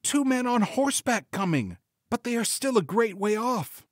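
A man voicing a gentle, watchful sister in a folktale, plaintively reporting what she sees. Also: very high-pitched, lively intonation, moderate pace, dry recording, studio quality, pitched above the performer's own voice. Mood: watchful, regretful. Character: wife's gentle watchful sister on a tower lookout.

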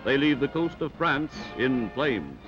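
Male newsreel announcer, American, 1940s style. Emphatic scripted read over loud music, lo-fi vintage film sound. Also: medium pitch, very animated, a little slow. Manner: dramatic, emphatic delivery. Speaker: newsreel narrator.

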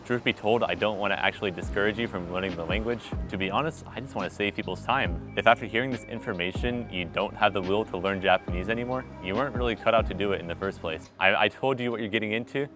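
A young man with a fairly low voice talks to the camera on a city street at night. He is wry and animated, and he speaks into a small clip-on mic. Soft music plays underneath. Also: fast talker, hardly any pauses. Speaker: vlogger. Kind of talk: vlog monologue to camera. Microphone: small clip-on mic on his shirt.

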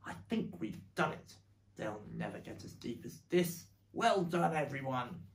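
A storybook reader voicing a brave, determined father fox in an animated read-aloud. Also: mid-range voice, unhurried, creaky. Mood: relieved.

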